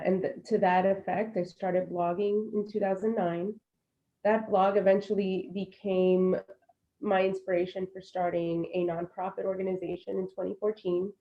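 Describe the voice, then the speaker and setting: A woman's voice: on the low side, dull audio. A woman in her thirties, born in Puerto Rico and raised in Florida, speaking earnestly and reflectively as a panelist over a video call. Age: 30s. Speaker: panelist, alumna. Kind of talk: casual panel talk.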